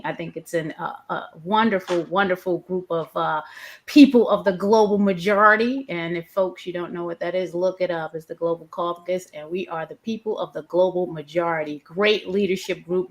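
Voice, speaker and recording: A woman hosting a live online interview speaks in a somewhat low voice. She is enthusiastic and affirming, praising her guests before she poses the next question. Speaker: host.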